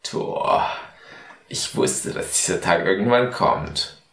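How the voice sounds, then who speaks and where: A man's voice: low-pitched, very animated, slow, gravelly, a bit bright, reverberant, full character voice. A man playing a grave, seductive superhero spy chief in an improvised parody audio play, speaking solemnly and portentously. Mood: ominous.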